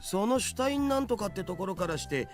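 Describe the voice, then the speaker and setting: An actor playing a laid-back, geeky young hacker who teases in slangy otaku talk in a visual novel. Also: male voice, high voice, expressive, racing. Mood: mocking. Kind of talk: Japanese visual novel voice acting. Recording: game music under the voice.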